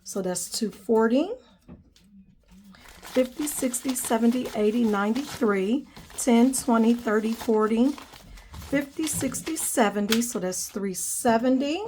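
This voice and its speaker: A woman's voice: a little high, a little slow, darkish tone, a little hiss or hum, poor audio. Nasal young woman with a cold. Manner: friendly, calls viewers "guys", counts money aloud. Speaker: budgeting channel host. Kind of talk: casual chatty vlog narration while counting cash.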